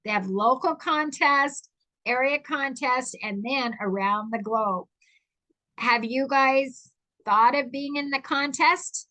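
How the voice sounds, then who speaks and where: A woman's voice: high voice, takes it slowly, bright, forceful tone, rough recording. A woman hosting a talk show who speaks enthusiastically and heaps praise on her guests. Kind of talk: casual show chat.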